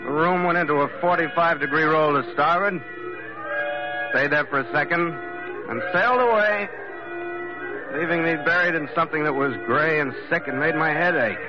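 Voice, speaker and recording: An actor playing a tough, world-weary ship's skipper in a hardboiled radio drama, terse and sardonic. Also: male voice, a little high, normal speed, over music, natural voice. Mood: grim narrating. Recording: muffled, noisy old radio recording.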